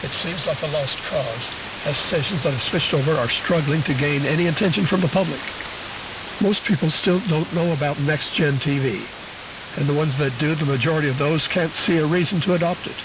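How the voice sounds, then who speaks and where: A man's voice: on the high side, a little fast. A man presenting a scripted news bulletin in a dry, wry manner, heard over a shortwave radio transmission. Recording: hissy, phone-like shortwave radio reception, heavy static.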